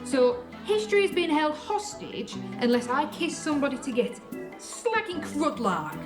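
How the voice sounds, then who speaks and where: A woman's voice: high-pitched, sing-song, normal speed. A woman playing a determined, curious young scavenger in an audio drama about a ruined future. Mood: frustrated, questioning. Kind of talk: audio drama acting and narration. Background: music.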